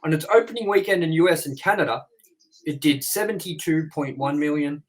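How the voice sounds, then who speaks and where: Male co-host, higher register, casual chatty reviewer, asks lots of questions. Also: lively intonation, fairly quick, rough recording. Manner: reads figures, then prompts partner with questions.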